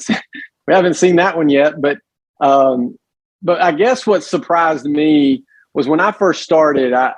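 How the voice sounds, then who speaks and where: A man with a loud voice and a Southern US accent, talking emphatically in an interview with many restarts. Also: medium pitch, no echo, rough recording. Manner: emphatic, frequent restarts and fillers. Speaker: guest, pregnancy center director. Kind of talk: conversational interview.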